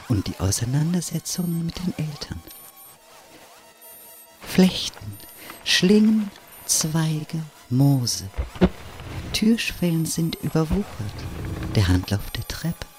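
A woman performing poetic radio play narration, addressing the listener in measured short phrases and single words. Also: sits low, exaggerated voice. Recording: loud music under the voice.

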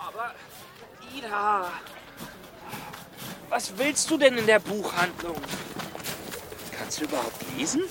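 A man's voice: high-pitched, very animated, slow, long pauses, noisy background, exaggerated voice. An actor playing a shy, earnest scholar in a children's radio play, hesitant and bashful in his speech. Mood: puzzled. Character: shy, earnest young scholar and fairy-tale collector.

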